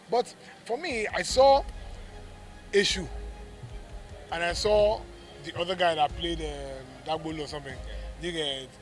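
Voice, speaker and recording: A man with a Nigerian accent giving an informal interview as a theatre producer, emphatic and often repeating himself. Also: on the high side. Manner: emphatic, repeats words and checks with "you get". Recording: loud music behind the voice.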